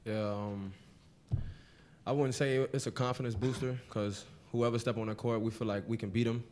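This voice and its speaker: A young male college basketball player answering postgame questions at a table mic in a press room, soft-spoken with many fillers. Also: mid-range voice, fairly even intonation, normal speed. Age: young adult.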